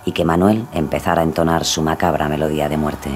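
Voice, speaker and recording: Very deep male true-crime narrator. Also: fairly even intonation, quick pace. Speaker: podcast narrator.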